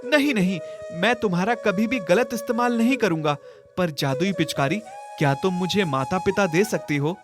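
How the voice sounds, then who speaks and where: An actor plays a poor orphan boy in a cartoon moral tale, devout and kind-hearted. He speaks with earnest wonder at a middle pitch, over clearly audible music. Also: normal speed, lightly acted. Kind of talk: children's cartoon story dialogue.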